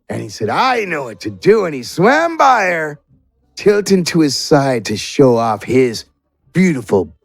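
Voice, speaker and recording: A man's voice: on the high side, vocal fry, dry recording. A man narrating a tabletop game as game master, with theatrical, improvised storytelling.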